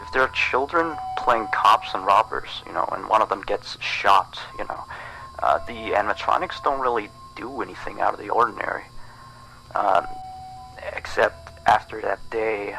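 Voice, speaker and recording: Guy acting as nervous phone guy from a horror game, kinda low voice, lots of hesitant stammering reassurance, clear music in the background. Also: pushed and bright, low-quality recording. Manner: hesitant, stammering, rambling, downplaying. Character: awkward, nervously reassuring male pizzeria employee on phone. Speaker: night-shift phone guide in a horror game. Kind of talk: recorded phone message, acted as casual rambling.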